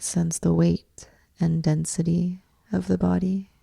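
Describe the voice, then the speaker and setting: A woman leads a guided meditation with a low-key, even delivery that barely moves in pitch. Her voice is soft-edged and mellow, with a creaky fry in it. She gives each instruction deliberately and leaves space after it. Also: no echo. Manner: measured, deliberate phrasing with long pauses. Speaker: yoga nidra teacher guiding a meditation.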